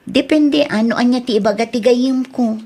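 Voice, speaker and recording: A woman's voice: a little high, moderate pace, mellow. A woman with an Ilocano accent acting a practical, affectionate young wife and mother in an audio drama. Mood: caring, assured.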